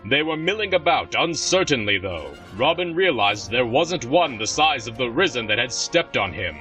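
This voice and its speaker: Male narrator for fantasy audio drama, crisp bright delivery, scripted storytelling over soft music. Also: mid-range voice, very animated, normal speed.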